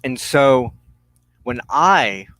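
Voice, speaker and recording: A man giving a spiritual teaching monologue as a broadcast host, solemn and deliberate, speaking in halting phrases with pauses. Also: medium pitch, lively intonation, a bit bright. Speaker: religious teacher hosting a broadcast on witchcraft.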